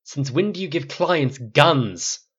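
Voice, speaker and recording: A man's voice: a little high, lively intonation, takes it slowly, breathy edge, highs cut off, pitched above the performer's own voice, full character voice. A man voicing a livid, commanding ranch boss who barks and snaps in an audiobook story. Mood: furious. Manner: snapping, barking, forceful.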